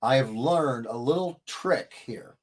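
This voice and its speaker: A man hosting a casual livestream, from the American Midwest, talking in a rambling, chatty way that keeps interrupting itself, his throat still slightly hoarse. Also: medium pitch, animated, a little slow. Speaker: livestream host.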